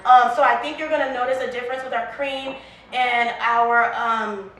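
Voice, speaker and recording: A woman's voice: fairly high pitch, normal speed, very echoey, recorded from a distance, rough recording. A woman from Liberia, a brand founder, talking casually and animatedly about her hair products. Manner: chatty, animated, with restarts and quoted asides. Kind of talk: casual interview chat.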